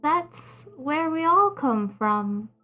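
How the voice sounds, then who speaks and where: A woman voicing a timid, gentle young shadow monster boy, with a nervous, hesitant, apologetic manner, in a storybook reading. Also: sits high, sing-song, very slow, soft background music, voice pushed up, full character voice. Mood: shy, matter-of-fact.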